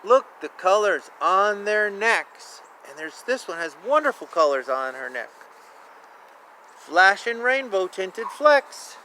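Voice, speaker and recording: A man reads a children's picture book aloud outside, his voice high and very animated. He takes it slowly in a sing-song way, dropping in casual asides, with clear ambient noise around him. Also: poor audio. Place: outdoors. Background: outdoor ambience.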